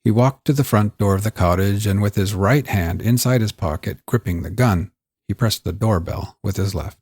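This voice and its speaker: A man reading scripted crime fiction in a measured, audiobook-style storytelling delivery. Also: fairly low pitch, a little mellow, slightly rough, no echo, studio quality. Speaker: narrator of a serialized crime story. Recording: music bed under the voice. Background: music.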